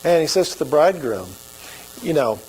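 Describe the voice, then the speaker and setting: A man's voice: on the high side, sing-song, moderate pace, a little mellow, breathy edge, poor audio. A man with an Oklahoma accent preaching a sermon as pastor, in a folksy, conversational way.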